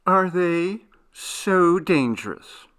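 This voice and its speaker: A gentle young woman passenger in a storybook tale, played by a male reader. The voice is lifted in pitch, with deliberate, curious phrasing. She sounds probing and thoughtful. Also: expressive, full character voice.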